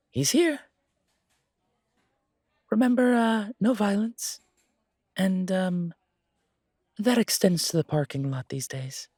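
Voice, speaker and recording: A man's voice: high-pitched, a little mellow, no room sound, clean studio sound, pitched above the performer's own voice, exaggerated voice. A man voicing a cheerful, fussy pointy-eared male shopkeeper in an audio roleplay, prim and polite with a fluttery stammer. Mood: anxious, cautioning.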